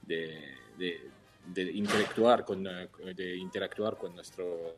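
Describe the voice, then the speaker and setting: Low-voiced Swiss man searching for words. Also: over music. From Switzerland. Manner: careful, halting, searching for Spanish words. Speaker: guest, wine entrepreneur. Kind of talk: radio interview answers.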